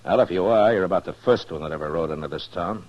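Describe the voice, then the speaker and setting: Casting: unflappable western lawman, low gravel-edged husky voice, dry authority, 1950s radio drama. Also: quick pace. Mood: stern. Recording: muffled old 1950s radio recording.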